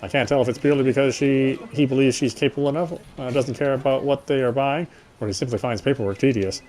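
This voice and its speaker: Guy doing a lets play, reads the text out loud in a normal mid voice, stumbles a bit, casual side comments. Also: medium pitch, steady tone, fast. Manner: stumbles and rereads lines. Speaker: gamer reading a visual novel aloud. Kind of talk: reading game narration with casual asides.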